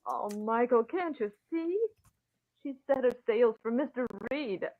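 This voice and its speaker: A woman's voice: on the high side, lively intonation, a little slow. Wisecracking newspaperwoman, swooping delivery, teasing, 1940s radio drama, phone-like sound. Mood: sardonic, teasing. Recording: thin phone-like old radio recording.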